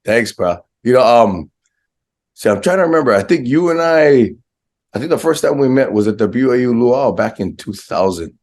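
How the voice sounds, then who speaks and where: A man with a slightly hoarse voice hosting a casual, brotherly interview in informal slang. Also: mid-range voice, expressive, normal speed, a little mellow. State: hoarse, recovering from a viral infection. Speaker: podcast host.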